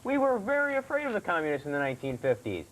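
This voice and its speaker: A man hosting a comedy puppet segment, talking haltingly as he banters and tries to keep a straight face. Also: sits high, wildly swooping pitch, fairly bright tone. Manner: halting, breaks into chuckles. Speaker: host. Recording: noisy amateur TV recording.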